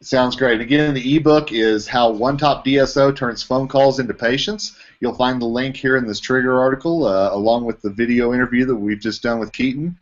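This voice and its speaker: A man's voice: mid-range voice, fairly even intonation, normal speed, hardly any pauses. A man hosting an interview over an online video call, asking questions as the interviewer. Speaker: interviewer, trade publication editorial director. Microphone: video call microphone. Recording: dull lo-fi video call audio.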